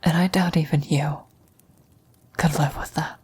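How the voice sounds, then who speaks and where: A frail, dying old woman, acted by a female voice actor speaks in a husky, breath-heavy whisper. The delivery is slow, solemn and low, with little rise or fall. It is a gentle, wise farewell in an intimate ASMR roleplay. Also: fairly even intonation, full character voice. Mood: grave, questioning.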